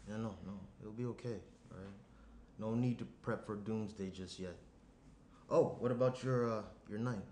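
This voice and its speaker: Low-voiced, breezy young businessman, played by a man. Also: steady tone, normal speed, darkish tone, close to an everyday voice. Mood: casual, reassuring. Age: young adult. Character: self-absorbed young businessman fiancé, casually complaining. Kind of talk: screenplay table read. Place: small room at a table. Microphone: shared tabletop microphone. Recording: roomy indoor sound, clear background noise.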